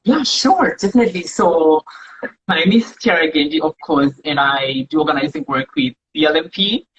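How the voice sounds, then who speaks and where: A woman's voice: sits low, sing-song, moderate pace, a bit bright, a little hiss or hum. A woman from Zimbabwe, earnestly telling her story as a guest on a live video chat, often tagging "right?". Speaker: community organizer, guest. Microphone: phone or laptop mic on a live stream. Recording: dull lo-fi livestream audio.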